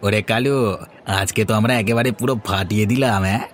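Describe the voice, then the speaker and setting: A man as a low-voiced sly village swindler. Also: quick pace, slight background noise, close to an everyday voice. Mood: smug, boastful. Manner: wheedling, scolding, smug. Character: sly, boastful male village juice swindler. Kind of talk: Bengali cartoon fairy-tale voice acting.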